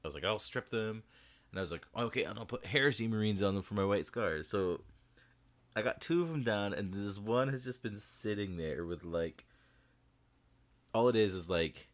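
A man's voice: fairly low pitch, expressive, normal speed. A man co-hosting a podcast, chatting casually and animatedly, laughing often as he talks, recording in a small bedroom. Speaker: podcast co-host. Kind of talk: casual chat about a hobby. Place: small bedroom with the door shut.

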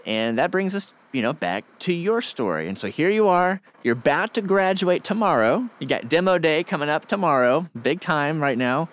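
Lively, enthusiastic male interviewer. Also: fairly high pitch, normal speed, few pauses. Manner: enthusiastic, encouraging. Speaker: interviewer at a coding school. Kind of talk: upbeat interview.

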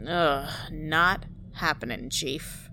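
A woman's voice: low-pitched, very slow, background noise, full character voice. A woman playing a friendly, sociable scientist on a starship crew in a sci-fi audio drama. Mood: disgusted.

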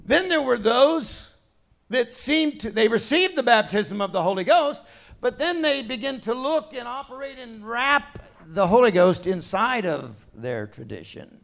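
A middle-aged man preaching a sermon in a conversational way, dropping to quiet asides and rising to loud, emphatic points. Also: very high-pitched, very animated, measured pace, vocal fry. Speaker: pastor.

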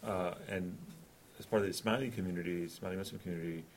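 A man from Pakistan with a fairly low voice, taking part in a casual academic discussion. He asks curious, stumbling questions, repeating words as he searches for them. Faint background noise sits under his voice. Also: fairly even intonation, fast. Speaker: faculty researcher.

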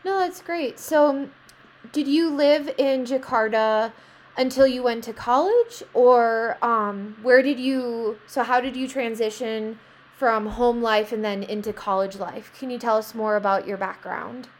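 Higher-voiced woman hosting a podcast. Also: a little slow. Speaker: podcast host. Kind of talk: casual conversational interview.

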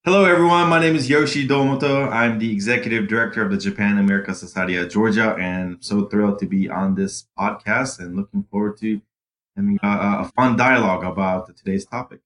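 A man's voice: on the low side, a little fast, a bit bright. A man, executive director of a society, chatting in a friendly, upbeat way on a podcast. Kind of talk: casual podcast chat.

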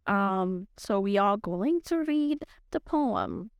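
A woman's voice: medium pitch, lively intonation, slow, smooth, dry recording, dull audio. A young woman with a French accent hosting a podcast, talking in a hesitant way with many fillers and restarts. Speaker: podcast host. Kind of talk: casual podcast chat.

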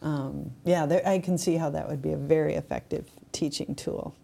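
A woman's voice: deep voice, fairly even intonation, normal speed, vocal fry, dark tone. A woman co-hosting a podcast, chatting casually in an affirming, explanatory way. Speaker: podcast co-host. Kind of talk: casual conversational discussion.

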